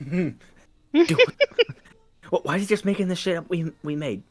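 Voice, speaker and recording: A man's voice: on the high side, lively intonation, unhurried, no room sound. A man talking casually during a tabletop roleplaying game.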